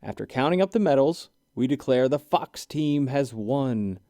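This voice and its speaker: Man as a kindly animal judge, lively intonation. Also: mid-range voice, normal speed, no room sound, studio quality, natural voice. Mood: pleased, announcing. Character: kindly storybook animal games judge announcing results.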